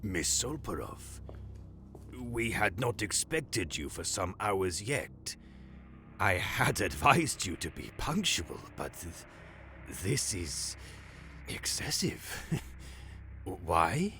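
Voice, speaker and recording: An actor plays a stern dystopian broadcast official in an audio drama. His low voice has a hard, forceful edge and moves slowly. He is formal and condescending, with faint noise behind him. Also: big pitch swings, heavily acted. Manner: formal, pompous, measured. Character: stern, condescending male broadcast official, cold bureaucratic superior.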